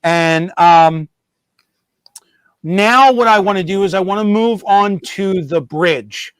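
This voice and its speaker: High-voiced man rambling over his hobby bench. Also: slow, fairly bright tone, smooth, no echo. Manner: rambling, self-correcting, joking. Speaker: host of a model railroad show. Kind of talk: casual live-stream chat.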